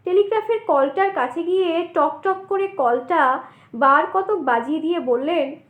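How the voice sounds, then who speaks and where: A woman storyteller with a very high voice reads literary prose in a roomy, echoing sound. Her phrasing is measured and expressive, in the manner of a dramatized audiobook. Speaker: storyteller, narrator.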